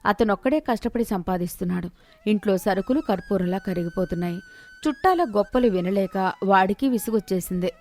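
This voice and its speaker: A woman storyteller giving an expressive scripted narration of a moral tale. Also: medium pitch, brisk.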